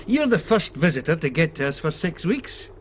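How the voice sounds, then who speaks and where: An actor playing a modest, earnest young Scottish minister in a radio drama, speaking politely with some hesitation. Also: male voice, on the high side, big pitch swings, normal speed, a little hiss or hum. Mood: modest, courteous. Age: young adult.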